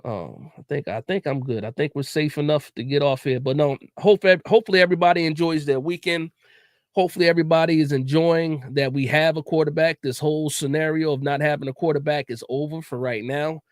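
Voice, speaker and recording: A man's voice: on the high side, fairly even intonation, fairly quick, no room sound, clean studio sound. A man hosting a casual sports livestream, laid-back and chatty, often repeating words and tossing in "man.". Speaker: podcast host.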